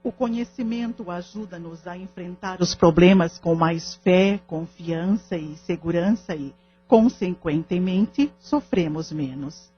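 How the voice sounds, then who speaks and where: A woman's voice: on the low side, unhurried, breathy edge. A Brazilian woman playing a humble, devout medium in an audio drama, teaching in a patient, formal way. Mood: earnest, instructive. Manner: didactic, formal. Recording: dull lo-fi audio with music underneath.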